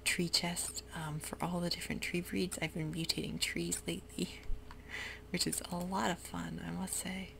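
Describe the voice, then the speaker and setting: A woman whispering softly through a relaxed, rambling ASMR game commentary. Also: on the low side, normal speed, vocal fry, a little hiss or hum. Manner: soft whisper, rambling and easygoing. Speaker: YouTuber playing a game. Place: home room with sprinklers outside.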